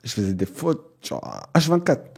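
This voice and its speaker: A young man whose first language is not French, talking casually as a podcast guest, animated and laughing often. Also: on the high side, normal speed, slightly rough. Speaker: guest, content creator.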